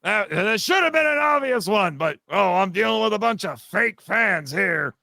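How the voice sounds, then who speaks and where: High, bright-voiced man with teasing banter. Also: expressive, normal speed, vocal fry, no room sound, studio quality. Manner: teasing, mock-condescending. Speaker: podcast guest, fan audio drama writer and voice actor. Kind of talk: casual banter explaining trivia.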